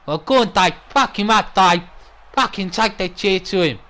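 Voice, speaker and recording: A skinny, whiny wrestling manager, acted by a man, commentates in an Australian accent. The voice is high with little bass in it. He is mouthy and defensive, and he swears constantly. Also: expressive, moderate pace, pushed and bright. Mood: excited. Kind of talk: wrestling commentary with in-character banter.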